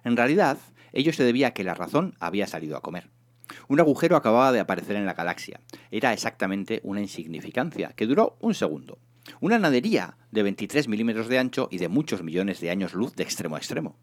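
A man with a fairly low voice reads a comic science fiction story aloud. His intonation moves up and down a great deal, giving the scripted narration an expressive, dramatic storytelling feel. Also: fast. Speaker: audiobook reader, narrator.

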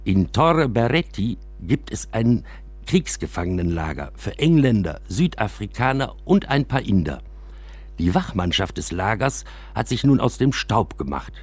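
A man narrating a scripted first-person story for a radio drama, with an expressive delivery. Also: fairly low pitch, unhurried, husky.

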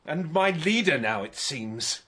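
A bitter middle-aged father in a radio play. He is stiff and resentful, with a fairly high voice that swings widely in pitch. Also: animated, a little slow, bright, forceful tone. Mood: bitter, sarcastic. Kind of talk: radio drama acting.

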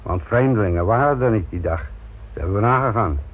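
Weary, needling inspector; familiar and probing, radio play, thin narrow-band sound. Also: male voice, lowish voice, lively intonation, fast talker. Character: wry, needling male police inspector, ageing and weary. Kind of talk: radio drama acting.

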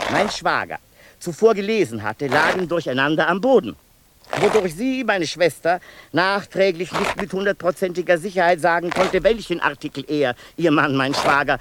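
Radio-play asylum inmate, pedantic and fixated, a higher voice over steady hiss. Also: male voice. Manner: long-winded, circling, endlessly repeating himself. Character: male asylum inmate, obsessive pedantic storyteller. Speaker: inmate narrating family deaths. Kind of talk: 1990s radio play acting.

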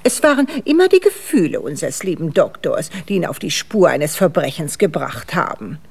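An actress playing a genteel older lady in a German radio play, fond and gently scolding. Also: low voice, sing-song, moderate pace, vocal fry, slightly rough, full character voice. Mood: fond, supportive. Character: genteel older lady companion, warm-hearted and gently chiding. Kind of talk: German radio drama acting.

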